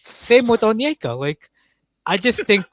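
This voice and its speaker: A man in casual football reaction chat, animated, often restarting and repeating his words. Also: high voice, unhurried, no echo, studio quality. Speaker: football show co-host.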